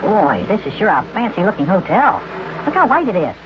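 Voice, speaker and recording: A man's voice: sits high, sing-song, a little fast, very noisy. A man voicing a small, wide-eyed, eager boy who exclaims naively in a children's radio serial. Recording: muffled old radio recording with music.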